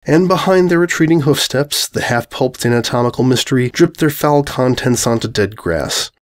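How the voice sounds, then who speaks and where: A man narrates a scripted story dramatically. His pitch is middling and moves about in a lively way. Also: moderate pace. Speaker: fan fiction narrator.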